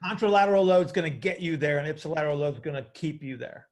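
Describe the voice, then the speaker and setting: A man with a creaky voice, casually explaining as a coach hosting a group call, often checking that listeners follow. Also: fairly high pitch, fast talker. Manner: informal, hands-on, checks understanding with short tag questions. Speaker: host and coach.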